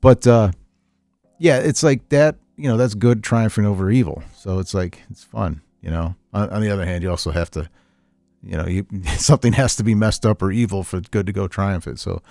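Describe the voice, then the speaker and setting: A middle-aged man chatting casually on a podcast, telling stories in a rambling, animated way with repeats and chuckles. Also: fairly low pitch, fairly quick, vocal fry, no echo. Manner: animated storyteller, chuckles often, repeats himself. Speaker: podcast host, veteran tabletop gamer.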